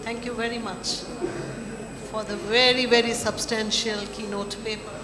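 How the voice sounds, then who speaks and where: A woman chairing a conference session, introducing the next speaker in a measured, formal way. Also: on the high side, level tone, crowd noise. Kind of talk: formal moderating. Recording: echoey hall with audience.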